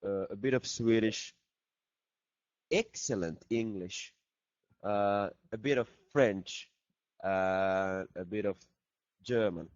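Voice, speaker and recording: A man's voice: fairly low pitch, slow pace, pauses often, no room sound. A man, a band member, joking and reading out fan questions in a casual video chat. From Finland. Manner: playful, jokey, reads questions aloud. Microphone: laptop video call mic. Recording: dull lo-fi video call audio.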